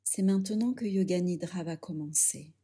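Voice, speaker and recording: A woman leading a guided yoga relaxation, speaking softly and soothingly in short phrases with pauses. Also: lowish voice, slightly rough. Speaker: yoga nidra instructor.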